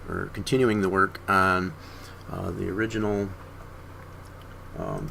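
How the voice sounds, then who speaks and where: A man streaming his 3D modeling work, talking through each step in an unhurried way with many fillers, hoarse and often clearing his throat. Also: on the low side, fairly bright tone, a little hiss or hum. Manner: unhurried, filler-heavy thinking aloud. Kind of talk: casual screen-share tutorial.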